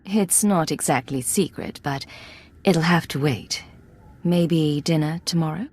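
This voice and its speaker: A husky, composed spy. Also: female voice, sits low, a little slow, a little mellow. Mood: guarded. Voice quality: slightly husky. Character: Imperial intelligence agent, guarded, composed, probing woman operative. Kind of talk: video game cutscene acting.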